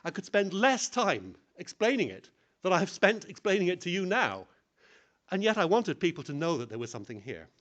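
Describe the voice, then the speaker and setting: Male conference speaker, lively pitch swings, self-deprecating, plain-spoken. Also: normal speed. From English-speaking country. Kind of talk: lecture on public speaking.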